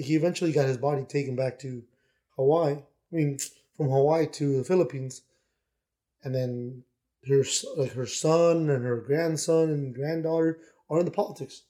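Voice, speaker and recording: A man's voice: on the high side, mellow. A man co-hosting a podcast, talking in a rambling, casual way with frequent restarts. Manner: rambling, with many restarts and put-on quoted voices. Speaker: podcast co-host. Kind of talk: casual chat. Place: Miami.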